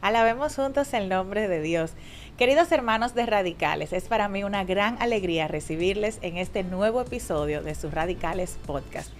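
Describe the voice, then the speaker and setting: A woman, a religious sister hosting a podcast in clear presenter and interview talk. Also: mid-range voice. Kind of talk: scripted intro and warm interview talk.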